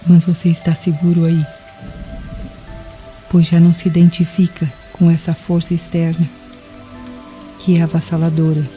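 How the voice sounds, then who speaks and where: A Brazilian woman narrating a guided meditation in a deliberate, soothing way, leaving pauses between phrases. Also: lowish voice, monotone, warm tone. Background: music with rain and thunder sounds.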